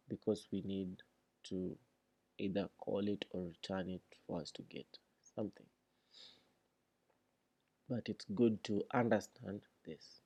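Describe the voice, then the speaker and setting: A man giving a read-along programming tutorial, reading deliberately and thinking aloud as he explains. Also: fairly low pitch, gravelly, mellow, husky.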